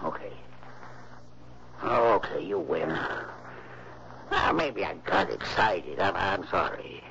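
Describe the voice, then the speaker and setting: An actor playing a short-tempered, blustering killer and blackmailer in a 1960s radio drama, stammering through slangy tough talk. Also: male voice, low voice, animated, takes it slowly, creaky, fairly bright tone, heavily acted. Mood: rattled, placating. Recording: noisy, dull old radio recording.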